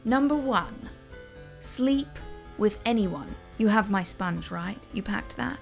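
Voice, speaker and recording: A woman voicing a spoiled, excitable young princess in an audio drama, with a demanding, impulsive manner. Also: mid-range voice, slow, vocal fry, faint music behind, pitched above the performer's own voice. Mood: eager scheming. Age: young adult.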